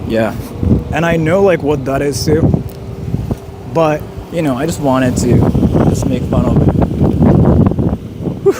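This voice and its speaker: A man's voice: on the high side, unhurried, long pauses, very noisy. A man, chatting casually on a live stream and reading viewer donations, rambling and joking with lots of "like.". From Japan. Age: 30s. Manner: rambling, joking, heavy use of "like".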